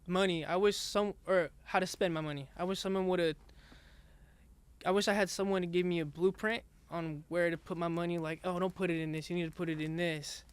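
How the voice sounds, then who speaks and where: Soft-spoken young man, high clear voice. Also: fairly even intonation. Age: young adult. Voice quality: raspy singing voice. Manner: soft-spoken, halting, many restarts. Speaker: rap artist guest. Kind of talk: casual interview chat.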